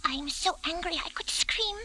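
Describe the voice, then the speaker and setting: A woman's voice: very high-pitched, moderate pace, full character voice. An actress playing a prim, indignant landlady who shushes and exclaims in old radio drama style. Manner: fussy, hushed, exclamatory. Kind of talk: 1940s radio acting. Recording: vintage radio recording with faint noise.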